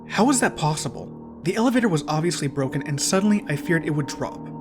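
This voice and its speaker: A man narrates a short horror tale in the first person. His voice sits a little higher than most men's, and he delivers it with suspense and theatrical drama. A soundtrack plays clearly behind him. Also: expressive, quick pace. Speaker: storyteller reading short horror fiction. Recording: music behind the voice. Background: clear background soundtrack music.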